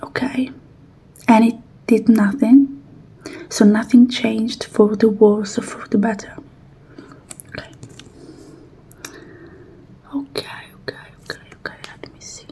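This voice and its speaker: A woman whispering softly and breathily in an ASMR roleplay as a technician, with a gentle, reassuring and unhurried manner. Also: on the high side, steady tone, breathy edge.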